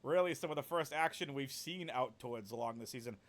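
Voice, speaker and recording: A man casting an esports match solo, with a deep voice, calling the plays and chatting with viewers, and excitable enough to build to shouts on big plays. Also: fairly quick. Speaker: esports caster. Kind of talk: solo play-by-play esports commentary.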